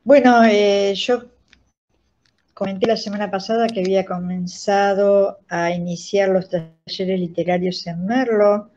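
Slow, mellow older Argentine woman. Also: mid-range voice, steady tone. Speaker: guest, radio theatre director and writing teacher. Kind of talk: explanatory interview talk. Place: indoors, plain room on a video call. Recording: dull lo-fi video call audio.

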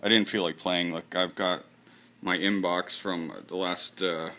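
Offhand man, bright forceful voice. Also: sits low, little pitch movement, a little slow. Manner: rambling, offhand, swears casually. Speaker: channel host running a giveaway. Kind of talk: casual screen-recorded vlog.

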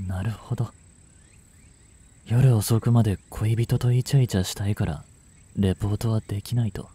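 A man playing a gentle, brooding male chemistry teacher in a voice drama, soft-spoken with sighs and murmurs. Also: fairly low pitch, husky, heavily acted. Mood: bitter, resigned. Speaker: voice actor in a fan voice drama. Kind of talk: Japanese audio drama monologue, intimate acting.

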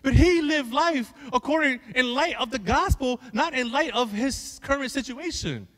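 A man's voice: extremely high pitch, moderate pace, a bit bright. A man in his thirties preaching a sermon as a pastor, conversational and emphatic, with rhetorical questions, on a handheld mic in a church. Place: large church room with stage, indoors. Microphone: handheld microphone. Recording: echoey hall with long reverb.

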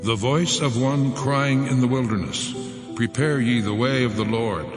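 A man's voice: mid-range voice, unhurried, lightly acted. Man as a grave prophet over music. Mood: solemn. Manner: solemn, reciting. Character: grave prophet reciting ancient scripture. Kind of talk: dramatized scripture acting.

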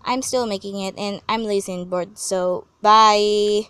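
A woman's voice: mid-range voice, fairly even intonation, unhurried, fairly bright tone, smooth, no room sound. A young woman who makes comic dubs, talking casually to her viewers. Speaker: channel creator and comic dubber.

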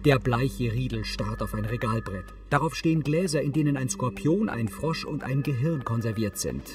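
A man reading scripted narration for a TV series in a measured, matter-of-fact way. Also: mid-range voice, animated. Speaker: audio description narrator. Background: music.

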